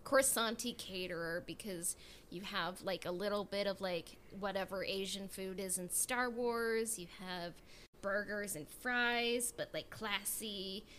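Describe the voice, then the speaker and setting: A woman's voice: medium pitch, measured pace, fairly bright tone, slight background noise. A woman co-hosting a podcast in casual group banter, wry and thinking things over as she talks. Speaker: podcast co-host.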